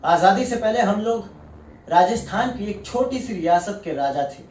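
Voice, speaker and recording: A male actor playing a proud, merciless war-veteran colonel in a stage monologue, grave and deliberate, drawing out key phrases for emphasis. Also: high voice, expressive, darkish tone, a little hiss or hum. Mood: proud, reminiscing. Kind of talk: dramatic theatrical Hindi monologue. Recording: echoey room, distant mic, faint music.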